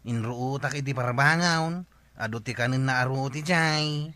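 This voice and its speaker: A man playing an excitable, evasive rustic village helper in a comedy radio drama, with an Ilocano accent and drawn-out exclamations. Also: medium pitch, no echo, natural voice. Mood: bothered.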